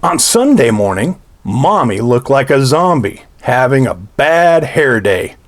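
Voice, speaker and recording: A man's voice: medium pitch, very animated, a little slow. A man reading a children's storybook aloud in a theatrical, playful way, drawing out his phrases.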